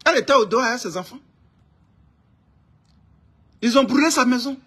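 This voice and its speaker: A man from Ivory Coast with an Ivorian accent and a husky voice, delivering an informal, indignant political rant, emphatic and repeating his phrases insistently. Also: extremely high pitch, lively intonation, moderate pace, fairly bright tone. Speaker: online political commentator.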